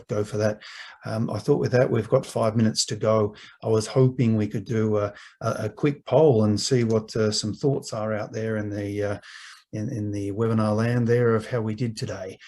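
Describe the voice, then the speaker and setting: Husky man hosting a webinar. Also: fairly low pitch, a little mellow. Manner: informal, encouraging, stop-start phrasing. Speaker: webinar host. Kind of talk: informal webinar moderating.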